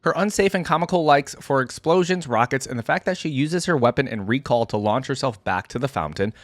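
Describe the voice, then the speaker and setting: A man's voice: mid-range voice, lively intonation, a little fast, no echo. A man giving casual reaction commentary as host of a game lore video, reading bits aloud between remarks. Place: close, dry indoor recording.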